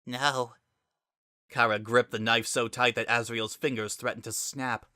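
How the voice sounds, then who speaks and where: A man's voice: mid-range voice, level tone, normal speed, sharp tone, no room sound, clean studio sound. A man reading a scripted story aloud as a dramatic narrator.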